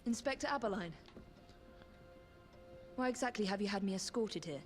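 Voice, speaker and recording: An actress voicing a determined young woman assassin in a video game, speaking in a crisp, direct, questioning way. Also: mid-range voice, lively intonation, normal speed, faint music behind, close to an everyday voice. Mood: urgent. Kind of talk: video game cutscene acting.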